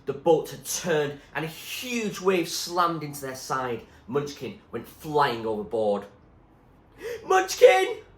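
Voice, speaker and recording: A man reading a story aloud in an expressive, suspenseful narrating style. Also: a little high, takes it slowly, few pauses. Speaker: reader, teacher. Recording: echoey room with faint background noise.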